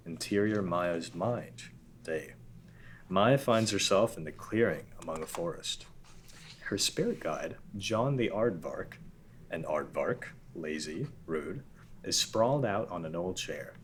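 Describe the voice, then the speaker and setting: A man reading out scene directions in an even, matter-of-fact way at a table read. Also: deep voice, slow pace, a little hiss or hum.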